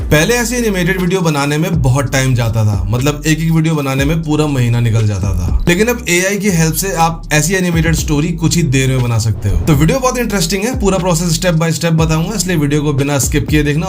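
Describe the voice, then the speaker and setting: A man hosting a casual step-by-step tutorial. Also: on the high side. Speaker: tutorial host. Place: voice-over for a computer screen recording.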